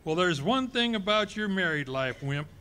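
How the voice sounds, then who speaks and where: An actor playing a boastful, blustering middle-aged husband in a live radio play, who cracks jokes and stammers when cornered. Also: male voice, sits high, expressive, moderate pace, sharp tone, a little hiss or hum.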